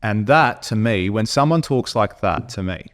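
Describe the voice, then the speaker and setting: An Australian man co-hosting a podcast, talking bluntly in an animated, opinionated way, with frequent restarts. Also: on the low side, normal speed. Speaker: podcast co-host. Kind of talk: casual opinionated chat.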